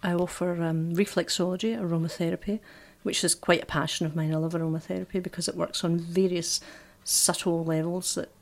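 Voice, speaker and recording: A woman with a Glaswegian accent describes her therapies in a soft, quiet voice. Her pitch sits a little low, and a dry humor comes through as she talks. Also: fairly even intonation, fairly quick, hardly any pauses. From Glasgow, Scotland. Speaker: holistic therapist, studio guest. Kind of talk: casual interview.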